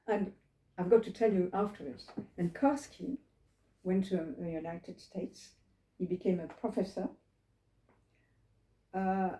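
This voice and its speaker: A woman's voice: on the low side, mellow. An elderly woman from France, speaking English, telling her life story to a small group in a reflective, digressive way and asking them questions. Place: living room. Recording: roomy living room.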